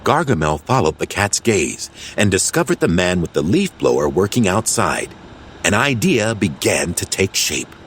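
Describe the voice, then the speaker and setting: Animated deep-voiced male storyteller. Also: normal speed, slight background noise. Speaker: audiobook narrator. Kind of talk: scripted children's storybook narration.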